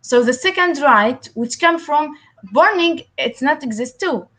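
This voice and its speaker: A woman with a high voice speaks English as a second language, her first being Arabic. She answers interview questions haltingly and keeps stopping to search for words. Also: lively intonation, a bit bright. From Palestine. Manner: halting, many fillers and restarts. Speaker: guest.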